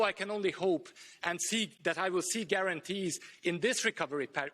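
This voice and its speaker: High-voiced man giving a critical floor speech. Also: fairly bright tone. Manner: critical, measured, with some hesitations. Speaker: member of parliament. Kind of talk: one-minute floor speech.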